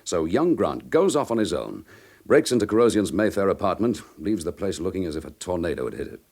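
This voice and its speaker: An actor playing a determined police chief inspector in a radio crime drama, forceful, clipped and impatient. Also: male voice, low-pitched, big pitch swings, a little fast, creaky, heavily acted. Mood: grim, intense.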